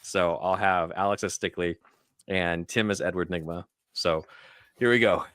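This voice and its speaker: Low-voiced man hosting a casual podcast. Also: fairly even intonation, normal speed, a bit bright, smooth. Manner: chatty, explanatory. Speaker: podcast host. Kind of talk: casual scene-by-scene film discussion.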